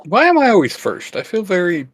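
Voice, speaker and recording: A man's voice: high-pitched, wildly swooping pitch, fairly quick, fairly bright tone, slight background noise. A man co-hosting a casual chat with jokey, teasing banter. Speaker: co-host.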